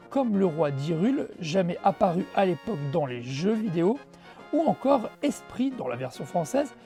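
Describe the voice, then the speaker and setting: Mellow Frenchwoman presenting over background music. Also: medium pitch. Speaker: host of a retro video game show. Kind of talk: scripted review narration with casual asides.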